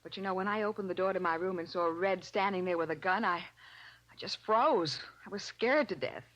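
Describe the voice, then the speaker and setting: An actress playing an earnest, inquisitive young detective's assistant in a 1940s radio crime drama. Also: female voice, lowish voice, normal speed, fairly bright tone, natural voice. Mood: admiring, candid. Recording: dull vintage 1940s radio recording.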